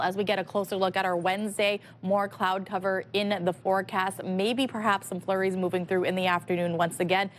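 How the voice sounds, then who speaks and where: A woman's voice: fairly low pitch, a little hiss or hum. A woman presenting a live television weather forecast in a conversational broadcast style.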